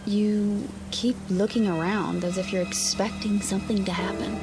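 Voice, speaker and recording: A woman's voice: medium pitch, steady tone, moderate pace, lightly acted. An actress playing an affectionate, practical young honeymooning wife in a radio drama, coaxing and reasoning with her husband. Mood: gently probing. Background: music under the voice.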